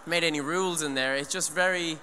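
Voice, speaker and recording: A man's voice: a little high, a little fast, pushed and bright. A young man giving an earnest, rambling talk on stage into a handheld mic, full of restarts and "you know". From Ireland. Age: young adult. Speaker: speaker at an eco event. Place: large hall, on stage. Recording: long hall reverb, faint noise.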